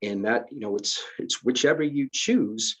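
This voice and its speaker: Husky-voiced man in his fifties explaining. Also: mid-range voice, normal speed, a bit bright. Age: 50s. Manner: conversational, many restarts and fillers, explains step by step. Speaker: retirement system administrator. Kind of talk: casual explanatory presentation. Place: virtual benefits fair call. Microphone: video call.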